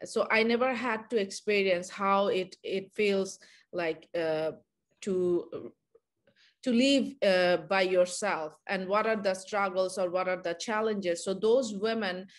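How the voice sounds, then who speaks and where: A woman filmmaker with a Bangladeshi accent, from Bangladesh, answering in a webinar interview over a video call, halting, with many filler sounds. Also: medium pitch. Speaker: documentary filmmaker, guest.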